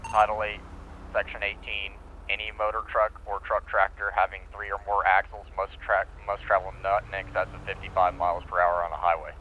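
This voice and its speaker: A man's voice: on the low side, completely flat delivery, a little fast, close to an everyday voice. A man playing a businesslike patrol officer in a police roleplay game, speaking formally and procedurally over radio. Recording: dull radio audio, faint noise.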